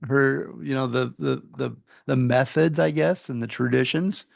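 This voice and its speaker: A man hosting a podcast, asking questions in a halting way, repeating words as he goes. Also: mid-range voice, gravelly, dry recording, clean studio sound. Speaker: podcast host. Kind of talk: interview questions.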